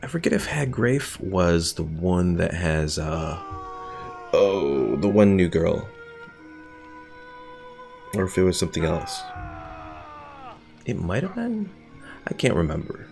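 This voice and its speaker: Male streamer, low register with vocal fry, casual rambling game commentary to chat. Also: big pitch swings, slow, long pauses, a little mellow. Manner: rambling, thinking aloud. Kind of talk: casual gameplay commentary while chatting with viewers. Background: game music.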